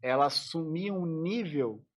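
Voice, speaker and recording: A man's voice: a little high, expressive, unhurried. A man, a musician guest on a podcast, speaking reflectively and often restarting his sentences. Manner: reflective, thinks aloud with many restarts. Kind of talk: casual interview conversation.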